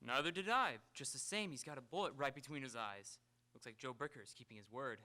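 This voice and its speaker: A man's voice: medium pitch, a little fast, bright, forceful tone. A man playing a brusque, world-weary police inspector in a live radio drama, with emphatic delivery. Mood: grim. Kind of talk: live old-time radio drama acting.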